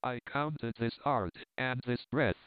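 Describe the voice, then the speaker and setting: A man voicing a frail, dying and fervent visionary who speaks quietly and measuredly, as if saving his strength. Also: medium pitch, slow pace, clear, resonant tone, no echo, close to an everyday voice. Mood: solemn. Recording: dry, tinny phone-like sound.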